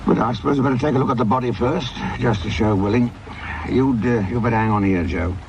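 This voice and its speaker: An actor playing a wry, middle-aged English police sergeant with a gravelly voice, grumbling and dry-humoured in a radio drama. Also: male voice, medium pitch, fast talker, dull audio, background noise, lightly acted. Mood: sardonic.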